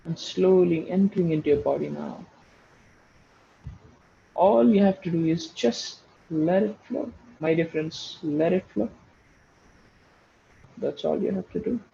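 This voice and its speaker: A man leading a guided meditation, giving slow, soothing instructions and repeating short phrases for emphasis. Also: on the high side, vocal fry, mellow, dull audio, a little hiss or hum. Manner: repeats phrases for emphasis, addresses listeners as friends.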